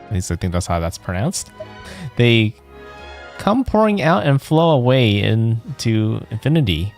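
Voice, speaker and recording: A man's voice: medium pitch, very animated, a little slow, vocal fry, darkish tone, soft background music. A man streaming a video game, musing aloud with questions in casual let's play commentary.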